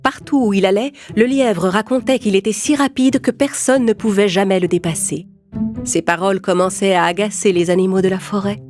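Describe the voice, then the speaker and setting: A woman's voice: mid-range voice, fairly even intonation, fairly quick, darkish tone. A woman narrating a scripted fable for children as a storyteller. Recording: clear music bed behind narration.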